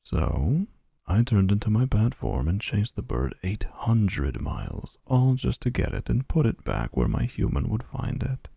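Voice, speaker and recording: A man's voice: lowish voice, sing-song, unhurried, vocal fry, warm tone, husky, no echo, clean studio sound, full character voice. A man voicing a possessive, smug male vampire suitor in a boyfriend roleplay, talking in a menacing, boastful way.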